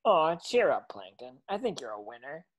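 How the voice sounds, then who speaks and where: A man voicing a cheerful, naive cartoon sea sponge in a table reading, chattering excitably. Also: a little high, normal speed, dull audio. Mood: consoling.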